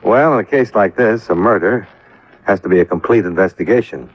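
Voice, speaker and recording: An American actor playing a sardonic, hard-boiled insurance investigator in the dry, clipped style of 1950s radio detective drama. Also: male voice, fairly low pitch, brisk, husky. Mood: sardonic, probing. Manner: dry, sarcastic, clipped questioning. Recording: muffled vintage radio recording.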